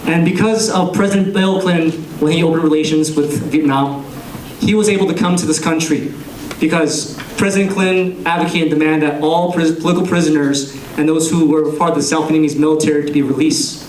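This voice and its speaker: A young man speaks to a crowded room in a somewhat higher voice, earnest and measured, breaking his lines into short phrases. The sound bounces around the room. The mic sits some way off, with faint ambient noise behind him. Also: steady tone, darkish tone. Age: young adult. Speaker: political candidate. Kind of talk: campaign speech. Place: large room with an audience. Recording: echoey room, captured from a distance.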